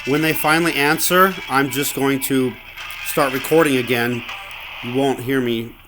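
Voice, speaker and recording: Halting, aggrieved man making a complaint. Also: medium pitch, steady tone, music in the background, rough recording. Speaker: customer phoning in a complaint. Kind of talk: informal spoken account of a grievance.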